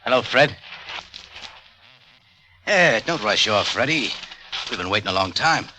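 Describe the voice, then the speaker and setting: A sly American crook from an old radio play, lowish and swinging in pitch. He taunts and coaxes, on a clearly noisy vintage recording. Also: expressive, a little slow. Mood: sly, taunting. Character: smooth-talking, mocking male crook offering a bribe. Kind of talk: mid-century American radio drama acting.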